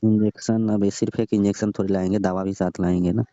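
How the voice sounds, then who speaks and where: A man's voice: on the low side, little pitch movement, quick pace, dark tone, dry recording. A middle-aged man playing a village doctor on a staged comic phone call, laid-back and flirting. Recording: dry, muffled phone call recording.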